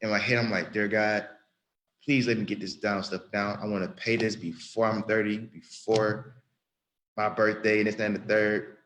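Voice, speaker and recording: A man of about thirty speaks in a slightly low voice, sharing an unscripted church testimony. He talks earnestly and loosely, circling back and repeating phrases as he goes. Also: level tone, normal speed, fairly bright tone, a little hiss or hum. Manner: earnest, rambling, with frequent restarts and repetition. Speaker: church member giving testimony. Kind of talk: spontaneous spoken testimony.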